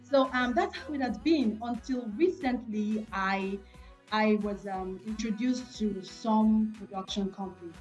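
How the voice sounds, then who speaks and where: A woman's voice: mid-range voice, measured pace, darkish tone, faint music behind. Nigerian woman in an earnest interview. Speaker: interview guest, gospel singer. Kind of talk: personal interview talk.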